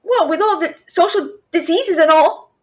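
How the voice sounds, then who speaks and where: A woman playing a brash, fast-talking young female thief and failed alchemist in a fantasy audio roleplay, chattering with sarcastic, exasperated bluster. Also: very high-pitched. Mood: nervous bragging. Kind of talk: fantasy audio roleplay, addressing an unseen listener.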